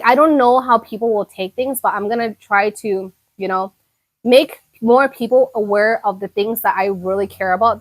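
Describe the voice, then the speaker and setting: A woman's voice: mid-range voice, no echo, low-quality recording. A young woman from Thailand chatting casually on a live stream, answering her viewers' comments and often repeating herself. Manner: chatty, repeats words, many fillers. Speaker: YouTuber reading viewer chat.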